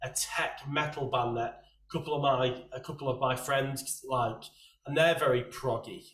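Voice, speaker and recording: A soft-voiced man hosting a relaxed chat, rambling along and restarting his sentences. He sits back from the mic, and the room rings with echo around him. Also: medium pitch, normal speed, recorded from a distance. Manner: chatty, rambling, many restarts. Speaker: host. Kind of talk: casual chat.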